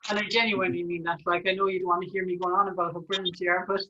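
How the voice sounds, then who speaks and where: A woman's voice: lowish voice, little pitch movement, very fast, bright, forceful tone, a little hiss or hum. A woman interviewing a band over a video call, effusive and generous with praise. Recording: dull lo-fi video call audio.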